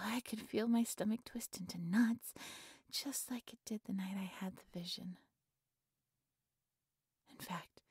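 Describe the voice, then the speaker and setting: A woman playing a giddy, devoted cultist who worships her captive, soft and breathy, often slipping into whispers, in a gushing, reverent audio roleplay monologue. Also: mid-range voice, no room sound, clean studio sound.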